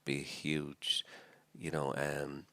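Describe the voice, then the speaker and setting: A man's voice: very deep voice, flat delivery, takes it slowly, a bit bright, dry recording. A man in his sixties with a Dublin Irish accent, a priest being interviewed, talking reflectively with many fillers and restarts. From Dublin, Ireland. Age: 60s. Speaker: priest guest.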